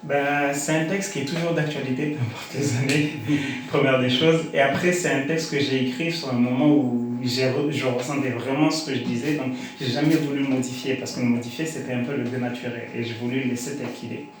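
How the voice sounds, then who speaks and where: A man's voice: mid-range voice, steady tone, brisk. A man with a Comorian accent and Shikomori as his mother tongue, giving conversational, explanatory interview answers as a guest. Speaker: interview guest, slam poet. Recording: very echoey room with faint noise.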